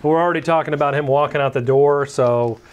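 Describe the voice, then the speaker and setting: Middle-aged guy guesting on a sports show, slightly high voice, lots of uhs and restarts. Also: fairly even intonation, brisk, a little hiss or hum. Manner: hesitant, many fillers and restarts. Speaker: guest panelist. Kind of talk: casual sports chat. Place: small brick-walled TV studio set. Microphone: lapel mic.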